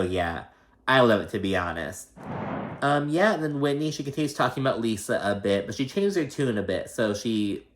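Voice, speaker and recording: A man with a slight lisp giving casual, gossipy, sweary recap commentary, acting out quoted dialogue as he goes. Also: medium pitch. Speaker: host of a reality TV recap show.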